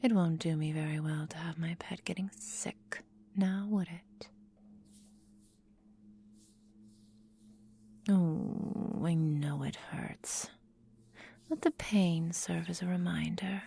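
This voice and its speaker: A woman voicing a menacing, possessive vampire mistress in a whispered ASMR roleplay, calm and deliberate, breathy, close to the microphone. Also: lowish voice, heavily acted. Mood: darkly soothing. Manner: slow, breathy, deliberate, coolly domineering.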